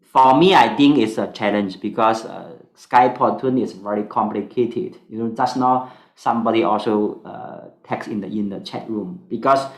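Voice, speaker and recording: A man with a Singaporean accent, whose first language is Mandarin, teaching an online stock analysis class in halting English full of fillers. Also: lowish voice, echoey room.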